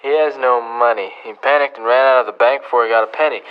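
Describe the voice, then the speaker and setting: A man's voice: medium pitch, fairly even intonation, fast, clear, resonant tone, highs cut off, close to an everyday voice. A man playing a stern, world-weary American marshal in a Western radio drama, terse and sardonic. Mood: troubled.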